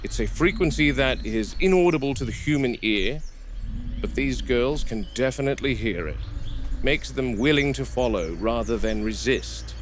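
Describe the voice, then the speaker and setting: A man playing a serious, seasoned game warden who narrates a field log in a measured, matter-of-fact way. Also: mid-range voice, expressive, natural voice. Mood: calm, observant. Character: serious seasoned male game warden logging work with dinosaurs. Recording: noisy VHS-style field recording.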